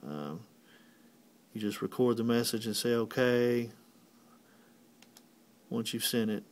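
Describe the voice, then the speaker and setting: A man's voice: medium pitch, animated, slow pace. A man giving a step-by-step tutorial as a technician, explaining plainly and methodically. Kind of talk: instructional step-by-step walkthrough.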